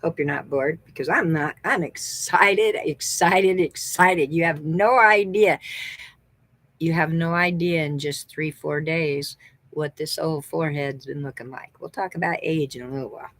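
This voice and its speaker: A woman's voice: sits low, a little slow, fairly bright tone. A middle-aged woman from the American Southwest with a Texan accent, chatting upbeat as a beauty consultant and thinking aloud while she looks things up. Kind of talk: casual sales vlog.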